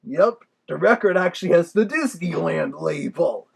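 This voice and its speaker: A man voicing a chatty, nostalgic big bad wolf with a gravelly, husky voice, giving a folksy casual review. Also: a little high, animated, normal speed, exaggerated voice. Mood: amused.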